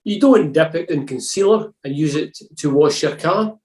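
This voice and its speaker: Man, church reader, earnest, calm, mellow tone, echoey room. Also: a little high, normal speed. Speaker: devotional reader. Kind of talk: scripted church reflection reading. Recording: roomy echo.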